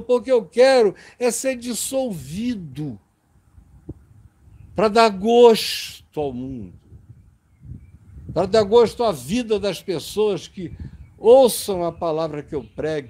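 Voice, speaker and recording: A man with a high voice delivers an impassioned sermon. He speaks slowly and emphatically, letting each phrase land. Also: mellow. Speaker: preacher.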